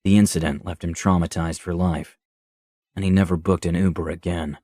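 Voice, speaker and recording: A man's voice: sits low, fairly even intonation, a little fast, dark tone, no room sound. A man reading a scripted horror story as its narrator.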